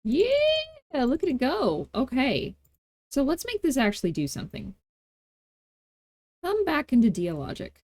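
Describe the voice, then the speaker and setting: A woman hosting a casual software tutorial, talking in an informal, playful and self-deprecating way. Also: sits high, huge pitch swings, a little slow, pauses often. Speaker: tutorial host.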